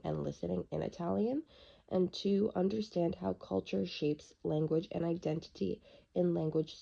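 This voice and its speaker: A young woman presents her unit plan in a husky, gravelly voice that is half gone. Her tone is dark and mellow and sits a little low. The recording sounds dull and lo-fi. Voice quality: raspy. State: losing her voice. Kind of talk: reading through a lesson plan.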